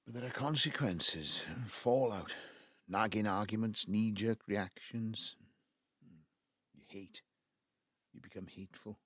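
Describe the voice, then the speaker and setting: An ageing patriarch from the South Wales Valleys in an audio drama, his voice very deep and rough with husk. He takes his time, halting and brooding as he justifies himself. The sound is close and dry. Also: a bit bright, no echo, heavily acted. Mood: regretful. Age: 70s. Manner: halting, reflective, breaking into low muttering. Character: embittered ageing Welsh patriarch, wistful and self-justifying. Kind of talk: naturalistic audio drama monologue.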